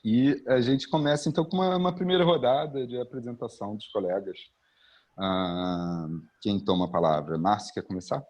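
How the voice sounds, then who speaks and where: A Brazilian man, a philosophy professor, opening an online panel in a halting, casual way on a video call. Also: lowish voice, a little mellow. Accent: Brazilian Portuguese. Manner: halting, restarts phrases, many "né" and "ah" fillers.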